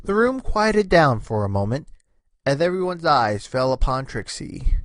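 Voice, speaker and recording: A man's voice: mid-range voice, sing-song, a little slow, no echo. A man narrating a scripted story as its narrator, reading in storybook style.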